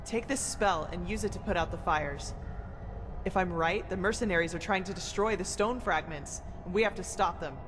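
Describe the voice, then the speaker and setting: A woman's voice: lowish voice, normal speed, noisy background, lightly acted. A woman playing a brusque, foul-mouthed mage in a video game, blunt and commanding as she leads an expedition through ruins. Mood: urgent, commanding. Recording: long reverb with game music behind.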